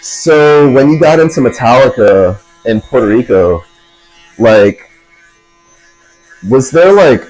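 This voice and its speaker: Guy hosting a podcast, laid back, middle pitch, keeps asking questions. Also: takes it slowly, pauses often, soft background music, poor audio. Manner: relaxed, asks questions, enthusiastic. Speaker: podcast host. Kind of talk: casual interview chat.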